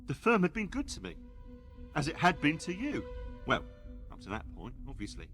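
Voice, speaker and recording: A man's voice: fairly high pitch, wildly swooping pitch, moderate pace, fairly bright tone, soft background music, heavily acted. A man playing a meek, self-excusing employee in a radio play, apologetic and defensive. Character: meek, self-excusing male employee who obeys orders.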